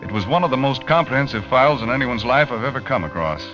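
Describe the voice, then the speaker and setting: A man's voice: mid-range voice, expressive, quick pace, natural voice. An actor playing a matter-of-fact American magazine writer, narrating his investigation in an old radio drama. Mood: businesslike, curious. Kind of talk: 1940s-50s radio drama first-person narration. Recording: muffled vintage radio recording with music.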